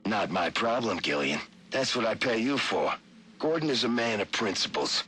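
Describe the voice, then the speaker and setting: A cold mob boss in a game, measured and in charge, hard bright voice. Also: male voice, lowish voice, sing-song, breathy edge, exaggerated voice. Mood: cold, commanding. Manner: measured, authoritative. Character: controlled, commanding crime boss giving orders. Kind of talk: video game voice acting, audio log.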